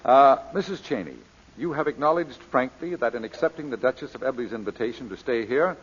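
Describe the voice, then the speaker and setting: Husky, pompous English gentleman. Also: mid-range voice, animated. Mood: courteously formal. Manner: pompous, measured, courteous. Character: formal, diplomatic English gentleman, suavely presiding. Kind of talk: 1940s radio drama acting. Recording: dull lo-fi old radio recording.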